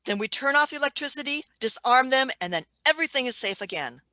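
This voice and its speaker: An eager, imperious scientist in a radio drama, played by a woman. She speaks fast in a high-leaning, bright voice, stubborn and insistent. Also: expressive, dry recording, rough recording. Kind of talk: radio play reading.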